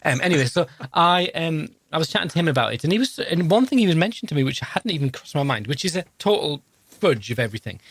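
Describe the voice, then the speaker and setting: A man's voice: fairly high pitch, quick pace. A middle-aged man with a Northern English accent, co-hosting a podcast in casual, rambling, jokey chat. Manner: jokey, rambling, lively asides. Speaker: podcast co-host.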